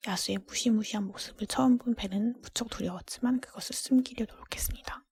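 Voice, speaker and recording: A woman reads a fairy tale aloud as ASMR in a soft, breathy whisper, in a gentle, hushed storytelling manner. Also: fairly high pitch, fast. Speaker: storybook reader.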